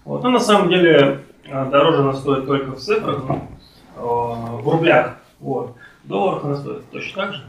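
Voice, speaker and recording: A man in his late twenties in casual podcast conversation, speaking in a halting, hesitant way with many pauses. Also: a little high, lively intonation, vocal fry, far from the mic. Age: late 20s, turning thirty. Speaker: podcast participant. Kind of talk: casual conversation about personal life. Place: friend's home, indoors. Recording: echoey room, off-mic, faint noise.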